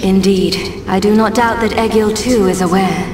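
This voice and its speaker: A woman's voice: medium pitch, fairly even intonation, normal speed, close to an everyday voice. An actress playing a solemn, regal ancient female being in a video game cutscene, speaking formally and with measure. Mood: solemn, pensive. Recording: echoey, loud music behind.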